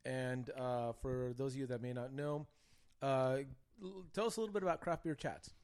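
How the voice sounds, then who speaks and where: Male podcast host, gravelly middle voice, easygoing and chatty. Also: mid-range voice, fairly even intonation, normal speed, darkish tone. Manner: chatty, loose, lots of fillers. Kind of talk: casual podcast chat.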